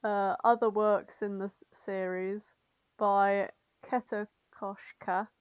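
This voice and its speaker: A woman's voice: medium pitch, little pitch movement, slow pace, smooth, dry recording, highs cut off. A woman co-hosting in casual comic chat, giggly, sweary and self-deprecating as she recaps what she has been reading. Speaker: podcast co-host.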